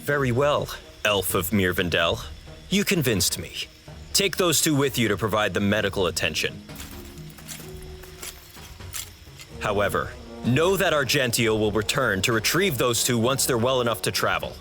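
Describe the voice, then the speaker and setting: An actor playing a stern, haughty imperial cavalry commander in a fantasy audio drama, pompous and formal. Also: male voice, fairly low pitch, expressive, moderate pace, fairly bright tone. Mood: grudging, warning. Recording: music behind the voice.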